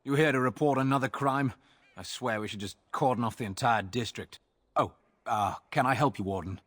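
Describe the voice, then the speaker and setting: An actor playing an exasperated, sarcastic city guard officer in a fantasy video game, grumbling gruffly in a husky voice. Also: male voice, mid-range voice, a little fast, a bit bright, no echo, natural voice. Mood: weary, irritated.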